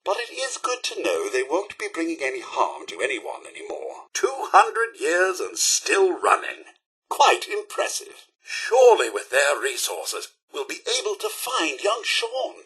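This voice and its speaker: A courteous British robot butler from a video game. His voice sits fairly high and is very bright and crisp in attack, leaping theatrically up and down. He sounds prim, loyal and forever fretting. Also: huge pitch swings, unhurried, full character voice. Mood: courteous, upbeat. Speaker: companion character in a video game. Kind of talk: video game companion dialogue.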